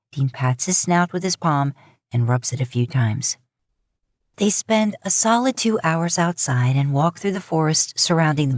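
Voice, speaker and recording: A woman's voice: low voice, darkish tone, dry recording, clean studio sound. A woman reading scripted fiction aloud as an audiobook-style narrator. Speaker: podfic narrator.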